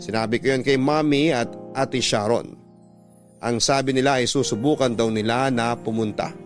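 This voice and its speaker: A Filipino man narrates a personal letter in a scripted radio drama style. His voice is mid-pitched, with music clearly playing behind him. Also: steady tone, moderate pace. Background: background music.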